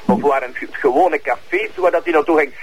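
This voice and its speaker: A man's voice: a little high, a little fast, slight background noise, close to an everyday voice. An actor playing a suspicious Flemish police investigator in a radio drama, pressing his point insistently and repeating himself. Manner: pressing, repetitive.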